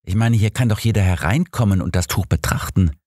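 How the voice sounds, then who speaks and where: A man's voice: lowish voice, brisk, darkish tone, no room sound, voice pushed up, lightly acted. Guy reading as a curious doctor sidekick in an audiobook, polite, asking things, dry studio sound. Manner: polite, questioning.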